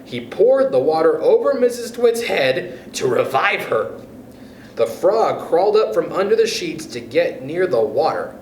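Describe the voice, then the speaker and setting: A man reading a children's story aloud as a storyteller, in an animated, expressive way. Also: fairly high pitch, unhurried, distant mic. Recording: roomy echo with faint background noise.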